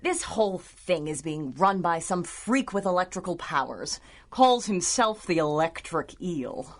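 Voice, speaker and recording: A woman's voice: fairly low pitch, lively intonation, unhurried. A woman playing a cool, confident, taunting villainess in a 1930s-style radio adventure serial. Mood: explaining coolly. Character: cool, dangerous female villain, proud rival of the heroine.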